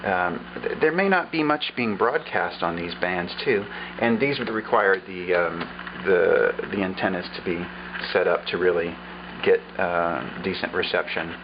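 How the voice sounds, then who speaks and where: A man with a low, easygoing voice walks through an old radio at a relaxed pace, pausing on fillers as he thinks. The sound is a little dull, with a steady hiss audible behind him. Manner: unhurried, thinking aloud with fillers. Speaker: vlogger showing a vintage radio. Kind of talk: casual show-and-tell demonstration.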